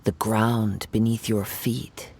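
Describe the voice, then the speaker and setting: Composed, creaky-voiced courtly swordsman. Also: lowish voice, flat delivery, darkish tone, heavily acted. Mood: calm, courteous. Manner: formal, measured, polite. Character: composed, courtly young male wandering swordsman-scholar. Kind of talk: video game character acting.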